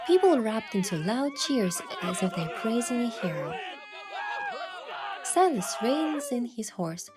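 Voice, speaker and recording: A woman's voice: on the high side, very animated, unhurried, background noise. Woman as thoughtful young heroine narrating her story. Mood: narrating, earnest. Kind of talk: first-person story narration and game dialogue. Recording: music behind the voice.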